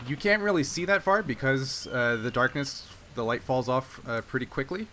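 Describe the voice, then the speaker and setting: A man acting as game master, narrating a tabletop game and talking through rules casually, pausing as he works out rulings. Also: medium pitch, pushed and bright. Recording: clear background noise.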